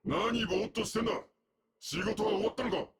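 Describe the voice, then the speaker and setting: A man's voice: sits high, racing, slightly rough. An actor playing a brash, scolding male thug in a video game dub. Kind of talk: video game character acting.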